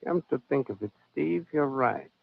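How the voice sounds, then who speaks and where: A man's voice: mid-range voice, lively intonation, moderate pace, creaky. An actor playing a needling, sardonic newspaperman, dry and pointed, in an old-time radio drama. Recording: tinny, narrow old radio recording.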